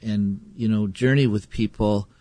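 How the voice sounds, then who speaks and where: A man's voice: lowish voice, steady tone, measured pace, warm tone. Affable, chuckling male interviewer. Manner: conversational and affable, chuckling as he talks. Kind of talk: casual interview talk.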